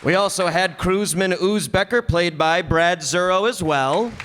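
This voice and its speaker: A man's voice: high voice, measured pace, fairly bright tone. A man hosting a live stage show, announcing casually and effusively with some stumbles. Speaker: announcer, host of radio players troupe. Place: stage before live audience. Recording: live room, crowd, faint noise.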